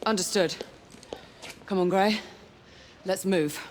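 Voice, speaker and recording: A woman's voice: medium pitch, takes it slowly, background noise, close to an everyday voice. An actress playing a tough, teasing female knight in a video game, with a poised, assertive manner. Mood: brisk. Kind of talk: video game cinematic acting.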